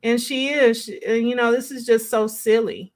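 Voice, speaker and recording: A woman's voice: on the high side, fairly even intonation, moderate pace. A woman hosting a live stream, giving casual, conversational reaction commentary as she thinks aloud and responds to chat.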